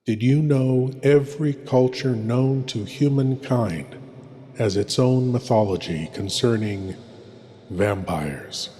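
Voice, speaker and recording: A man's voice: fairly low pitch, mellow. Man playing a ghoulish horror host in cavernous reverb. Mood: ominous. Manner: pompous, deliberate, ominous phrasing. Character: ghoulish horror host lord, sinister, intimate, theatrical. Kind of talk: theatrical scripted horror anthology introduction. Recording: long hall reverb.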